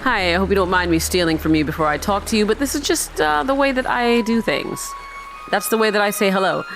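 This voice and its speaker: A woman's voice: mid-range voice, animated, fairly quick, low-quality recording. Chatty woman doing let's-play commentary. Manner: chatty, joking, sarcastic asides. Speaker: gaming video host. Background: game music faintly behind the voice.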